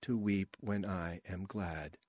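A man voicing a reluctant young woman who recites romantic stage lines softly and woodenly in an audiobook. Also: on the low side, no echo, clean studio sound. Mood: wooden, subdued. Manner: slow, soft, wooden delivery.

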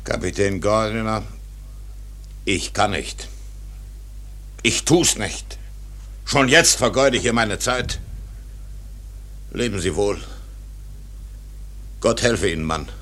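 A man playing a brooding, obsessed old whaling captain in a German radio drama, with a gravelly, declamatory delivery. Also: fairly low pitch, animated, takes it slowly, pauses often, a bit bright. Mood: hard, refusing. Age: older. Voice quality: gravelly, rough.

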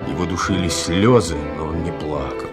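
A male narrator of a vintage radio play with a very low voice. He delivers scripted, dramatic storytelling while music plays clearly behind him. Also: moderate pace.

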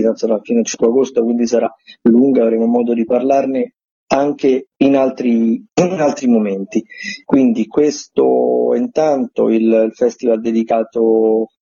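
A very husky man gives an informal rundown of events on the radio. His tone is mellow and he often hesitates or repeats a phrase. The audio is dry and slightly dull. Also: mid-range voice, dry recording. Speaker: theatre critic giving a weekly events agenda.

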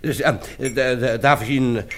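A mid-pitched male voice from an actor plays an eager but flustered Excellency in a radio play. He hesitates, stammers and doubles back on his words. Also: moderate pace, lightly acted. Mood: delighted, eager. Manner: hesitant, stammering, repeats himself. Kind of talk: German radio play acting.

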